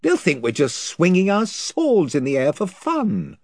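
A miserable young boy grumbling at the plan, as a man reading the story puts him on. The delivery is slow and husky, with the pitch close to the reader's own. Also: wildly swooping pitch, dry recording, full character voice. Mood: glum. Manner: glum, complaining.

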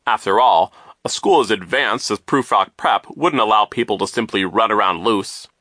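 A man's voice: lowish voice, normal speed, bright, forceful tone, no room sound, studio quality. A man voicing a pompous, oblivious banker in an audiobook, with a hearty, self-satisfied and emphatic way of talking. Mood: dismissive. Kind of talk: audiobook character voice.

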